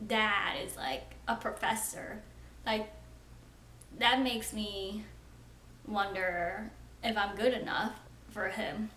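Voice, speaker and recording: A woman's voice: medium pitch, bright, forceful tone. A young woman in her twenties talking frankly and casually to camera as a vlogger, filling her sentences with "like.". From United States. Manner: chatty, frank, frequent "like" fillers. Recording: roomy echo with faint ambient noise.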